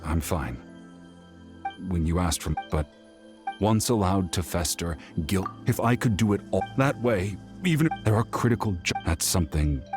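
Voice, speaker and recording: A man's voice: low voice, expressive, normal speed, music in the background. A man voicing a grave, guilt-laden stoic figure in a dramatic game scene. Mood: somber.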